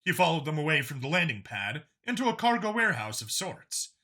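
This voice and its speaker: A man's voice: on the high side, sing-song, fairly quick, bright, forceful tone. A man reading scripted fiction as an audiobook narrator.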